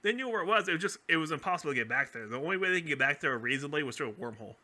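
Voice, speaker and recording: A man chatting casually as a podcast co-host, explaining things in an animated, rambling way. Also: fairly high pitch, racing. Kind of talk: casual chat, explaining fan theories about a TV franchise.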